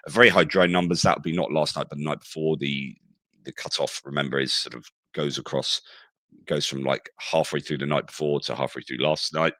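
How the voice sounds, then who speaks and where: A man with a hoarse, croaky voice, giving a casual spoken war news update, rambling and often correcting himself mid-sentence. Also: a bass voice, fairly bright tone, no room sound. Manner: rambling, with frequent self-corrections. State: hoarse from shouting at concerts.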